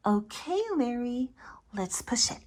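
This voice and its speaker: A woman reading a picture book aloud to young children, playful and expressive, speaking directly to the child listening. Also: fairly high pitch, slow.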